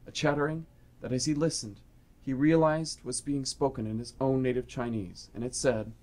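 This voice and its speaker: A man's voice: mid-range voice, fairly even intonation, moderate pace, a little mellow. A man reading scripted, dramatic story narration for a radio play.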